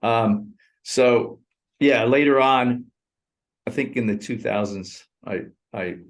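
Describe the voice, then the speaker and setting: An older man, around sixty, co-hosts a casual movie review chat over a remote call. He rambles from one thought to the next and breaks into laughter often, at an ordinary pitch for a man. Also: slow. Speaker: co-host. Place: in Thailand.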